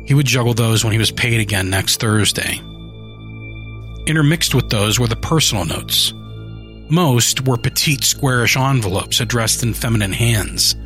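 A man narrating a horror short story in a measured, steady scripted reading. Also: medium pitch, faint music behind.

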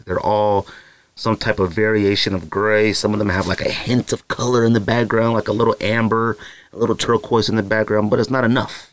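A man's voice: lowish voice, dry recording. A young man hosting a podcast, talking casually and rambling, with many fillers and asides. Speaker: podcast host. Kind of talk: casual solo podcast talk.

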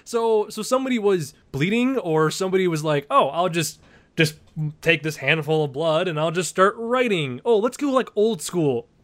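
A man's voice: sits high, lively intonation, normal speed. A man hosting a casual movie review podcast, rambling and laughing often. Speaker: podcast host.

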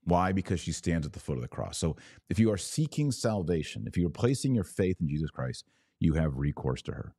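Low mellow guy doing religious podcast commentary, teachy and emphatic, replying to comments. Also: sing-song, fairly quick, husky, no room sound, studio quality. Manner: emphatic, didactic, with rhetorical questions. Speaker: podcast host. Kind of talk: religious commentary answering live viewer comments.

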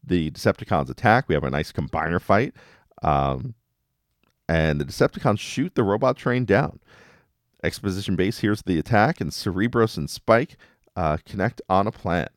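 A man with a low voice and lively, swinging intonation recaps a story as a podcast host. His delivery is casual and wry, in a dry, close recording. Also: moderate pace, no echo.

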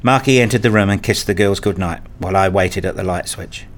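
A man's voice: deep voice, a little fast, close to an everyday voice. A man playing a weary, hurt father who narrates his own story in a radio play. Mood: sombre, measured. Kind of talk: first-person radio play narration and acting.

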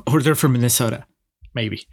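Man, Peruvian accent, mid-pitched voice, sarcastic and laughing, casual podcast co-host. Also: normal speed, husky. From Peru. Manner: laughs often, playful sarcasm. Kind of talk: casual film podcast chat.

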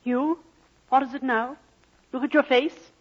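A woman's voice: high voice, fairly even intonation, a little slow. A plain-spoken, loving mother, muffled recording. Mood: anxious, caring. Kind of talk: 1940s radio drama acting. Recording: dull old 1940s radio recording.

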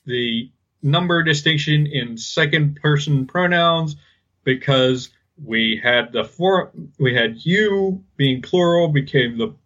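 A man co-hosting a podcast, talking casually and haltingly as he explains things. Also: on the high side, fairly bright tone, lo-fi sound. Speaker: podcast co-host. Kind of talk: casual linguistics discussion.

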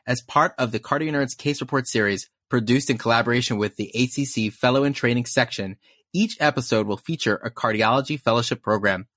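A man hosting a medical education podcast, lively and quick to laugh. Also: medium pitch, no room sound, clean studio sound. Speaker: podcast host.

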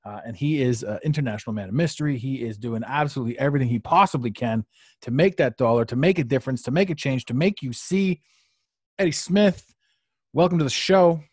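A man's voice: mid-range voice, a little fast, breathy edge, no room sound. Rambling male podcast host, dry sound. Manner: rambling, repeats himself, many fillers. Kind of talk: casual live podcast chat. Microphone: podcast mic on a live stream.

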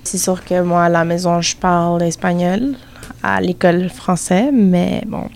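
Young woman, halting, soft ambient noise. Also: lowish voice, fairly even intonation, vocal fry. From Quebec, Canada. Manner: thoughtful, halting, with fillers. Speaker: student guest. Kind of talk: casual group discussion.

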